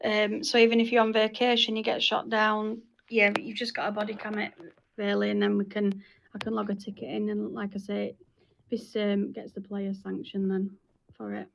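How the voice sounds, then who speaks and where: A woman's voice: medium pitch, completely flat delivery, normal speed, creaky, a little hiss or hum, low-quality recording. A woman leading an informal group meeting, explaining things in a hesitant, repetitive way. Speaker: meeting leader.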